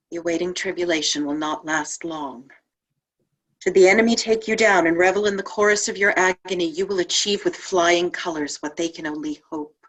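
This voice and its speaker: Woman playing a solemn, low-voiced martyred saint. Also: low-quality recording. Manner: measured, lofty, grave. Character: saintly martyred woman spirit, solemn, tender, wryly bitter. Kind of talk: poetic dramatic table read. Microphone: video call.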